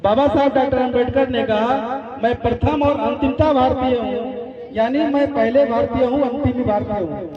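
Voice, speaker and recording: A man's voice: very high voice, steady tone, moderate pace. Earnest man speaking in an echoey hall. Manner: earnest, appealing, with pointed remarks to the audience. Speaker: speaker at a public commemoration. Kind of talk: public speech. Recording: muffled echoey hall from far off, crowd behind.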